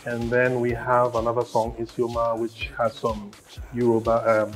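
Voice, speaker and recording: Guy in a relaxed interview, mid-pitched voice, some music playing behind. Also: normal speed. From Ghana. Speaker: musician and actor, interview guest.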